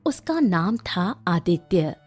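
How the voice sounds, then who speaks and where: A woman's voice: on the low side, sing-song, slow, a little mellow, soft background music. A woman narrating a children's story in a scripted storytelling style.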